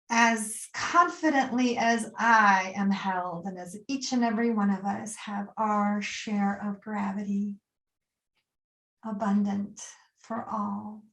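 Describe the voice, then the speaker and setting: A woman's voice: medium pitch, recorded from a distance. Woman guiding meditation in an echoey room. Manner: soothing, gently instructing, pausing between short phrases. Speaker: yoga nidra teacher. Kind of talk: guided meditation, spoken live and unscripted.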